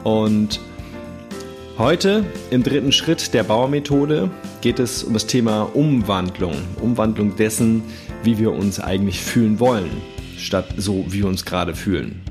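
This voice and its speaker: A man hosting a self-help podcast, speaking calmly and thoughtfully with frequent fillers while he has a cold and coughs now and then. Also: lowish voice, unhurried, over music. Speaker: podcast host.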